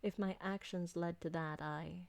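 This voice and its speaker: An elegant vampire lady played by a woman speaks in a lowish, creaky voice. Her diction is formal and deliberate, that of a possessive, doting mistress in an ASMR roleplay drama. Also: steady tone, darkish tone, no room sound. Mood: worried.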